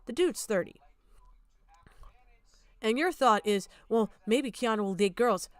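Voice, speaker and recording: A woman's voice: fairly high pitch, expressive, a little slow, dry recording. A woman streamer giving a casual, blunt reaction rant, swearing heavily and indignantly.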